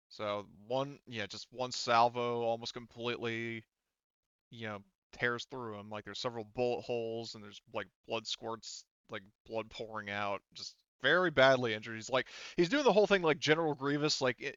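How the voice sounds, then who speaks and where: A man's voice: mid-range voice, a bit bright, smooth, no echo, highs cut off. A man acting as game master, talking casually as he referees and narrates a tabletop game and explains rules.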